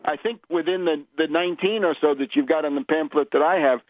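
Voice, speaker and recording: A man's voice: on the high side, fast talker, no echo. A man hosting a conversational political radio interview, explaining things in a professorial way with rhetorical asides. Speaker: radio show host. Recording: muffled lo-fi radio audio.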